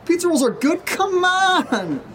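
An erratic, oddly chipper male housemate in a horror audio drama. His delivery is very lively in pitch, childlike and breezy, then abruptly insistent. There is faint noise behind him. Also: measured pace, heavily acted. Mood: cheerfully insistent. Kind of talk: naturalistic audio drama dialogue.

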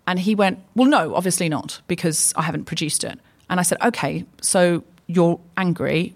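A woman co-hosting a live comedy podcast on stage, chatty and anecdotal, acting out both sides of the conversations she retells. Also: lowish voice. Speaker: podcast co-host. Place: live stage before an audience.

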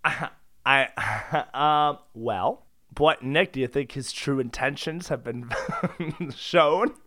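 A woman's voice: a bass voice, slow pace, fairly bright tone, no echo, studio quality. A woman co-hosting a podcast in casual, joking conversation. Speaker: podcast co-host. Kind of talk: casual comic chat.

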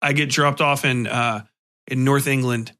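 A man's voice: mid-range voice, fairly even intonation, a little slow, bright, forceful tone. A man hosting a podcast, chatting casually and asking informal, jokey questions. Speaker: podcast host, interviewer. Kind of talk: casual interview chat.

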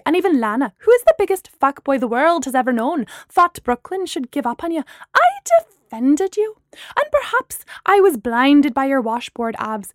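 A woman's voice: a little high, darkish tone, no room sound, studio quality. A woman voicing a dramatic, fiery young best friend in an audiobook, with an emphatic, theatrical manner. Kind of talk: acted audiobook dialogue.

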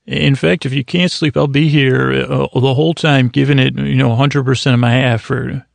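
A man with a heavily gravelly, fry-laden voice rambles through a self-deprecating monologue that is meant to lull listeners to sleep. His pitch stays flat and even. The recording is dry and close, with no room sound. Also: normal speed, no echo. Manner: meandering, self-deprecating, full of restarts. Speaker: sleep podcast host. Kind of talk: rambling monologue for falling asleep.

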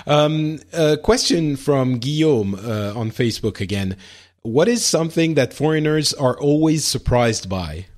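A man's voice: medium pitch, animated, darkish tone. Curious man asking casual questions. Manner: curious questioner, quick reactions, laughs easily. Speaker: podcast co-host. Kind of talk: casual podcast chat.

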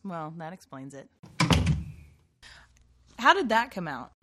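A woman's voice: lowish voice, big pitch swings, slow, heavy background noise. An actress playing a suspicious, pushy woman who writes and casts an amateur production, in naturalistic dialogue. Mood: encouraging. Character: suspicious, pushy amateur playwright running auditions. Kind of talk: naturalistic scripted drama dialogue.

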